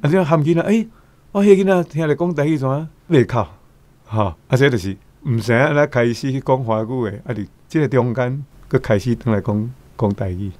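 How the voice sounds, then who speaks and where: A man's voice: medium pitch, a little fast, darkish tone, dry recording. A man with a Taiwanese accent giving casual commentary, chatty and full of rhetorical questions. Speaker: guest commentator.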